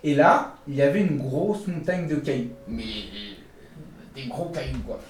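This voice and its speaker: A man's voice: fairly high pitch, lively intonation, measured pace, reverberant, distant mic, a little hiss or hum. A man co-hosting a podcast, telling funny stories in a casual, self-mocking way and laughing often. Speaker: podcast co-host. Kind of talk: casual comic storytelling.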